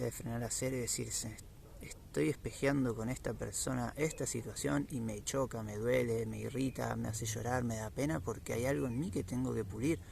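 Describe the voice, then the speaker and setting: Argentine male, low-pitched with heavy vocal fry, reflective spiritual vlogger, halting delivery, faint background noise. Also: darkish tone.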